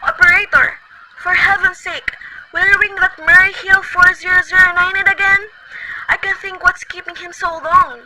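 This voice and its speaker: A woman acting a frantic bedridden lady, very high-pitched, demanding and near tears, noisy recording. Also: fairly even intonation, moderate pace. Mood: impatient. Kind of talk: student radio play reading. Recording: loud background noise.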